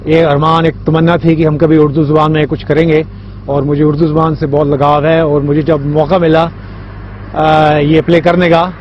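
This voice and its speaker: A male stage actor talking in an unscripted interview, halting and repeating words. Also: fairly high pitch, little pitch movement. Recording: dull sound with faint noise.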